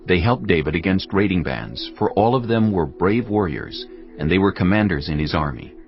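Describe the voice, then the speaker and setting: A man narrating scripture from a script in a measured, solemn manner. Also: deep voice, animated, muffled recording. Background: background music.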